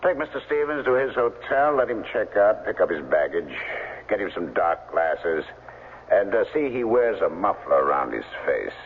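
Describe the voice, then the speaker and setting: An actor playing a cold, domineering middle-aged crime boss in a 1970s radio drama, smooth, condescending and commanding. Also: male voice, low voice, big pitch swings, normal speed, a little hiss or hum. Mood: brisk command. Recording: muffled vintage 1970s radio broadcast.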